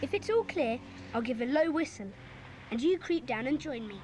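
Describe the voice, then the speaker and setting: High-voiced bossy schoolboy leader. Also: female voice, lively intonation, moderate pace, darkish tone, slight background noise, poor audio. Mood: tense, secretive. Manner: commanding, eager. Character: bossy, excitable schoolboy leader of a secret club. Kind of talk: children's audio drama acting.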